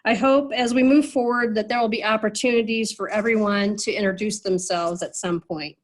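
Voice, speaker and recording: A woman's voice: mid-range voice, steady tone, moderate pace. A woman moderating an online meeting over a video call, sounding nasal from a cold, chatty with many fillers and restarts.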